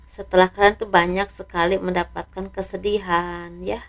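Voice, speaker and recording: Woman tarot reader, a bit hoarse, low flat voice, quiet trailing endings. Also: normal speed. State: slightly hoarse. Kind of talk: casual tarot reading and product selling.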